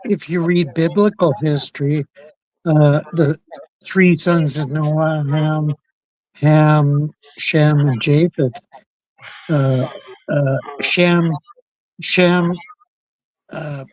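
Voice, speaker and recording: A man from Canada chatting casually as a livestream co-host, halting and repeating his words. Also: a little high, a little mellow, poor audio. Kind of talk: casual chat.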